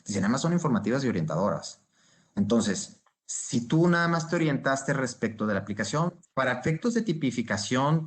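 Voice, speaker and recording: A man with a Mexican accent giving informal legal commentary as a panelist, restarting phrases and checking in with short question tags. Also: on the low side.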